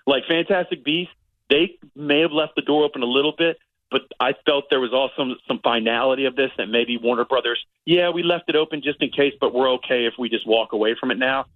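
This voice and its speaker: A man's voice: mid-range voice, brisk, no echo, phone-quality sound. A man giving a chatty, opinionated movie review as a guest critic on a casual radio show.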